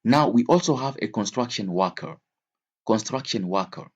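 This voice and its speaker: A man's voice: fairly low pitch, animated, moderate pace, husky. A man teaching an English vocabulary lesson in a didactic way, repeating key words and switching between languages.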